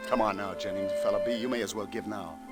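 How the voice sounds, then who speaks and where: A man's voice: on the low side, fast, over music, lightly acted. A man playing a world-weary American police lieutenant. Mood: frank, reassuring. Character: world-weary, sympathetic male New York police lieutenant. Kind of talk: old-time radio detective drama acting.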